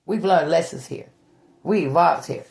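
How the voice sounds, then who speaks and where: Blunt, gravelly-voiced woman tarot reader. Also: low voice, normal speed, a little mellow. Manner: blunt, profane, preachy, repeats herself. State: stuffy nose. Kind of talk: tarot reading monologue.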